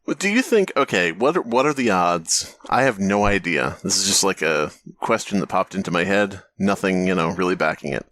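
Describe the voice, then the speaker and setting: A man co-hosting a comedy podcast, animated and swearing, laughing between jokes. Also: low voice, brisk, hardly any pauses. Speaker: podcast co-host. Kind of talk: casual comedic chat.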